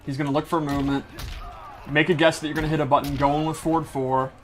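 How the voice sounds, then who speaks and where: A man with a slightly high voice walks through fighting-game mechanics in a casual style. He is enthusiastic and technical, explaining things as he goes. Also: level tone, fast talker, faint music behind. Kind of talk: casual gameplay breakdown.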